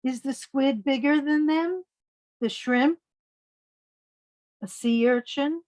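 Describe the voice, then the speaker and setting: A woman storyteller reading picture books aloud to young children, pausing to ask them simple questions. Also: high-pitched, a little mellow, dry recording.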